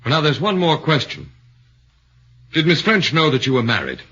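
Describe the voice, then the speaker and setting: A shrewd probing lawyer. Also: male voice, on the high side, wildly swooping pitch, sharp tone, breathy edge, exaggerated voice. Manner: measured, probing. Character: shrewd, probing middle-aged male lawyer. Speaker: defense lawyer. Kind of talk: 1940s radio acting. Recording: dull 1940s radio broadcast.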